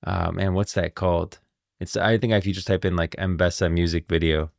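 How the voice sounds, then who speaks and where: A man's voice: sits low, fairly even intonation, brisk, vocal fry, no echo, studio quality. A man streaming casual commentary on game lore, thinking aloud in a rambling way and often repeating himself. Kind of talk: casual live reaction commentary.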